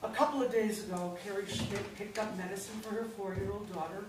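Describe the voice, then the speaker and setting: A woman's voice: mid-range voice, steady tone, brisk, close to an everyday voice. A woman acting a stern, secretive older wife and mother in a staged play reading. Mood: firm, guarded. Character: stern, secretive wife and mother, fiercely furious when crossed. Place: indoor staged reading, recorded from far off. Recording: echoey hall, distant mic, faint noise.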